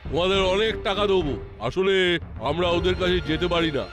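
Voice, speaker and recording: A man's voice: high voice, a little fast, music in the background, close to an everyday voice. A man voicing a scheming ringleader in a cartoon, giving calculated orders to his hired spies. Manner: commanding, calculating. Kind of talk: cartoon dialogue.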